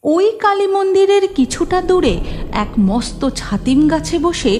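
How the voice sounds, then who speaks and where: A woman reading scripted cartoon story narration in an expressive, dramatic way. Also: extremely high pitch, measured pace, slight background noise.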